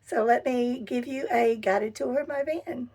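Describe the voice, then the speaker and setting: A middle-aged woman with a hoarse voice, chatting casually as she gives a tour of her camper van. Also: a little high, darkish tone. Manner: chatty and enthusiastic, pausing while showing things. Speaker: vlogger. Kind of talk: casual home tour vlog. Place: inside a small camper van.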